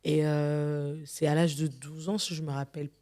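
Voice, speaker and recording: A middle-aged woman from Congo, a gospel singer, giving an earnest and measured testimony as an interview guest, sometimes repeating words as she searches for them. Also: low-pitched, flat delivery, dark tone. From Democratic Republic of the Congo.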